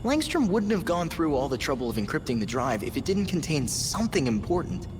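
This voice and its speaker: A man's voice: a little high, big pitch swings, a little fast, background noise. An actor playing an earnest, inquisitive teenage boy hero in a video game, with an eager, youthful manner. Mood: eager, hopeful. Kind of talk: video game cutscene acting.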